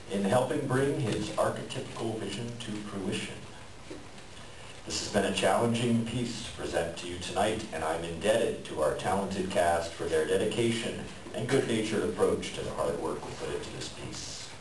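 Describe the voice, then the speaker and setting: A man with a deep voice gives a formal introduction to a staged reading. He is picked up from a distance in an echoey room with clear background noise. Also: normal speed. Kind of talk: formal introduction and read author's note. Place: library room, live event.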